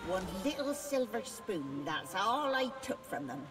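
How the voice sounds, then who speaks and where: A woman's voice: mid-range voice, big pitch swings, unhurried. A woman voicing a bitter petty thief's spirit in a video game, self-pitying and indignant. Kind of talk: dramatic video game voice acting. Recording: distant reverberant game audio, loud music.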